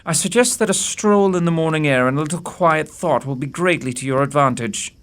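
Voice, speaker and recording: A man playing an imperious, witty Victorian English gentleman detective in an audio drama, speaking formally with precise, dry sarcasm. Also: on the high side, brisk, clear, resonant tone, lightly acted. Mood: cutting, dry. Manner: precise, clipped, dryly sardonic, formal. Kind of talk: audio theatre acting.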